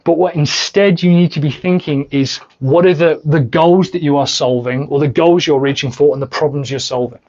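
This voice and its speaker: A man coaching on sales in a live training, blunt and emphatic, swearing freely and going hoarse by the end. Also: on the high side, moderate pace, few pauses, a little mellow, muffled recording. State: losing his voice. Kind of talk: live training answering viewer questions.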